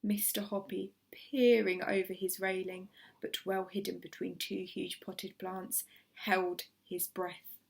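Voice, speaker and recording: A woman teacher reading a children's story aloud, expressively, with measured and emphatic dramatic phrasing. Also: mid-range voice, little pitch movement, gravelly.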